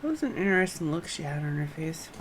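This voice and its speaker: A woman's voice: low voice, very animated, fast talker, background noise. A woman game streamer commenting live and reading dialogue aloud.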